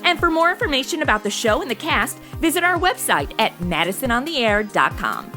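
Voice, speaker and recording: A woman's voice: on the high side, sing-song, brisk, sharp tone, soft background music. A woman with an American accent hosting a podcast, reading a scripted outro in a chatty, direct way. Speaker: podcast host.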